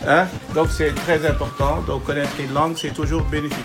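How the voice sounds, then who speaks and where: A man's voice: on the high side, flat delivery, brisk, reverberant, over loud music. A man from Senegal, an imam, guiding visitors around his centre and explaining, with many fillers. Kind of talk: guided tour explanation.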